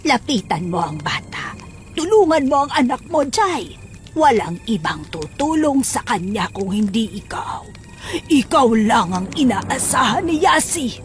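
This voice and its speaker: A woman voicing a manipulative, venomous female creature in a horror audio drama, urging insistently with drawn-out words. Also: sits high, sing-song, soft background music. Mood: coaxing.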